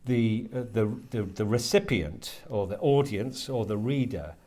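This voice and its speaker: A British man in his fifties talking reflectively in an interview, halting and restarting as he searches for his words. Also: lowish voice, expressive, mellow. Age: 50s. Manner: hesitant, halting, searching for words with repeated starts. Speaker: interviewee, writer and lecturer.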